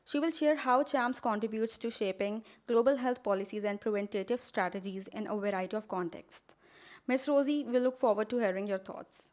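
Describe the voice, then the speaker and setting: A woman's voice: fairly high pitch, moderate pace, darkish tone. Hesitant woman moderating a webinar. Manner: hesitant, with fillers. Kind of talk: formal speaker introduction.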